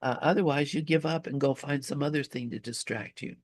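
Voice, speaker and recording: A man leading a spiritual study group in an informal, conversational talk, often halting as he searches for words. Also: medium pitch, no room sound.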